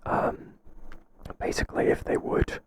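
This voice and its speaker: A man playing a hesitant, flattering boss in a whispered ASMR roleplay, speaking softly and breathily in a halting, confiding way. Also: natural voice. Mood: reassuring, businesslike. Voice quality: soft, breathy, mostly whispered.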